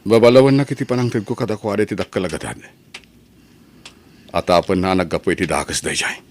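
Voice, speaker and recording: A man's voice: low voice, steady tone, brisk, a little mellow. A man with an Ilocano accent playing a troubled, defensive young son drifting into crime in an audio drama. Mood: brooding. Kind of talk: melodramatic Ilocano radio-style drama acting.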